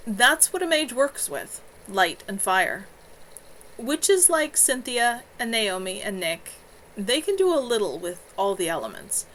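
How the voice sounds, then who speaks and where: A woman reading a novel aloud, voicing a calm, careful teenage mage who explains things in a measured way. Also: fairly high pitch, slight background noise, poor audio. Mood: explaining.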